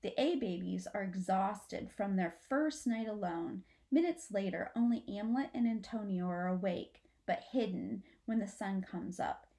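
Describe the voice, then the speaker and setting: A woman's voice: medium pitch, measured pace, creaky, few pauses, mellow. A middle-aged woman reads a children's storybook aloud like a teacher, expressively, as if to young listeners. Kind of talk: storybook read-aloud narration. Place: small furnished room indoors at home.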